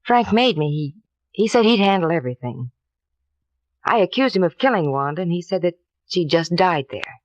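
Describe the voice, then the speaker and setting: An actress playing a frightened, defensive American doctor's wife, earnest and halting, in a 1950s radio drama. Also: female voice, lowish voice, animated, a little mellow, no room sound, close to an everyday voice. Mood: distressed, pleading. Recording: dull, dry vintage radio recording.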